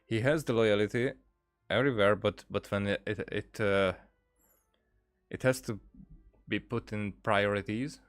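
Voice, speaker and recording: A man giving casual gameplay commentary, halting and hesitant, often repeating words as he thinks. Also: fairly low pitch, clean tone, dry recording, clean studio sound, soft background music. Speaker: gaming streamer, let's player.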